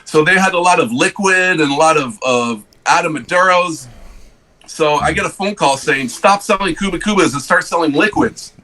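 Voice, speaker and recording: Bright-voiced man telling stories. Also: on the high side. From Switzerland. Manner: halting, many restarts and fillers. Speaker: cigar rep guest. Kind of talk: casual podcast storytelling.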